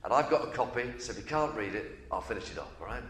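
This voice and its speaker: A man's voice: lowish voice, lively intonation, fast talker, a bit bright. A man preaching at a baptism service as pastor, encouraging the congregation. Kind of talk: sermon at a baptism. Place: church. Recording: echoey church room.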